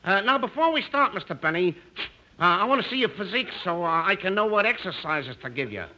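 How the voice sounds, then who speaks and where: An actor playing a slow-witted, genial punch-drunk ex-boxer working as a gym instructor in a radio comedy, halting and stammering. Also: male voice, high-pitched, lively intonation, bright, forceful tone, heavily acted. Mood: instructing. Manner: halting, stammering, uneducated speech. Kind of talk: 1950s radio comedy acting. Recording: very noisy 1950s radio recording.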